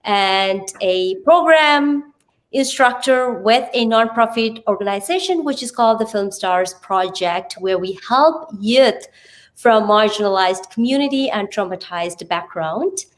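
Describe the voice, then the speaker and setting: A woman's voice: fairly high pitch, expressive, measured pace. A woman with a Sri Lankan accent speaking earnestly as an interview guest, explaining things phrase by phrase. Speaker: podcast guest, TV presenter and radio host. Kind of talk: conversational interview self-introduction and explanation.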